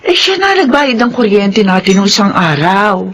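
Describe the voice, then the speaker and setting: A woman's voice: medium pitch, very animated, normal speed, fairly bright tone. Fretful poor mother, noisy recording. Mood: worried. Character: worried, hard-pressed older mother of a poor family. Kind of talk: radio drama acting. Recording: noticeable background noise.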